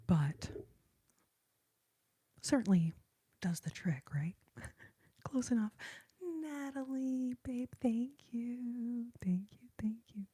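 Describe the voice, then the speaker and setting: A woman's voice: fairly high pitch, dark tone, husky. A young woman whispering to her livestream viewers during an ASMR stream, greeting them with pet names, close to a phone mic. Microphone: phone held close.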